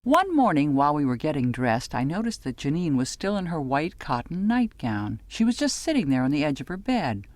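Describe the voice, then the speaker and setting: Expressive woman narrator, very dry sound. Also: sits low, fairly quick, hardly any pauses, no echo. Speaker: audiobook narrator. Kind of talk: scripted first-person literary narration.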